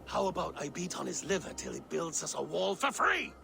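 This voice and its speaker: An actor playing a brash, hot-headed, brawling thunder god with blunt bluster in a video game. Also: male voice, a little high, very animated, fairly quick, gravelly, music in the background, exaggerated voice. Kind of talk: video game cinematic acting.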